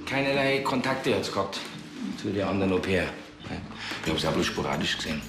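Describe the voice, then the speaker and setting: Low-voiced, curt Bavarian businessman. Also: animated, fairly quick, background noise. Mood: dismissive. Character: curt, self-important male au pair agency boss. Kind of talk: naturalistic TV crime acting. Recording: roomy sound, music behind.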